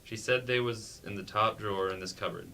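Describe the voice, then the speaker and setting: Man reading as a timid sheriff's wife, bright-toned. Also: lowish voice, fairly quick, vocal fry. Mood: timid, dutiful. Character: timid, dutiful sheriff's wife, a soft-spoken woman. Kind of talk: amateur staged play reading. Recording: faint background noise.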